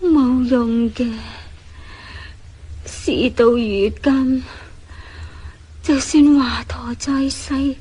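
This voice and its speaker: A woman's voice: sits high, extremely slow, pauses often, dark tone, slightly rough, full character voice. A woman with a Hong Kong Cantonese accent playing a frail, dying daughter-in-law in a radio drama, weak, sorrowful and resigned.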